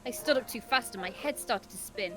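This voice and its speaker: A woman reading aloud as a shy, flustered teenage schoolgirl in a visual novel, expressive and earnest. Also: on the high side, fairly quick, over music, close to an everyday voice. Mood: earnest narration.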